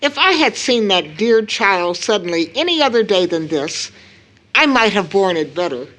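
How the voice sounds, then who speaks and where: A woman playing a grieving, proud widowed mother in an audio drama, telling her story solemnly with weighted pauses. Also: mid-range voice, sing-song, fairly bright tone. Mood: troubled.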